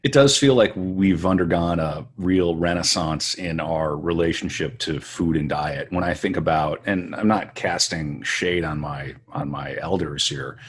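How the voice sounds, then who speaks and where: A man's voice: sits low, measured pace, hardly any pauses, breathy edge. A man from western North Dakota hosting a podcast, musing in a reflective, rambling way. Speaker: podcast host. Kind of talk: casual conversation.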